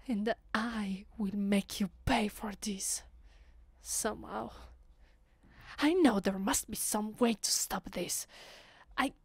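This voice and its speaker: A woman with an Italian accent playing a haughty, centuries-old vampire mistress in an ASMR roleplay, breathy and often near a whisper, imperious and dramatic. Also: medium pitch, dry recording. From Italy.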